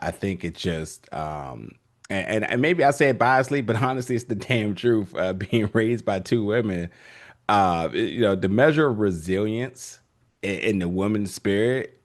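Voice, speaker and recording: A man interviewing film guests, rambling through long questions with many restarts. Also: low voice.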